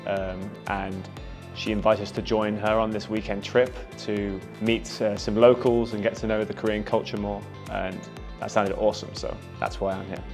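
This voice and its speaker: Man in his twenties, from the UK, low voice, upbeat and chatty, music playing behind. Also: level tone, normal speed. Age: 20s. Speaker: trip participant. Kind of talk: casual interview answers.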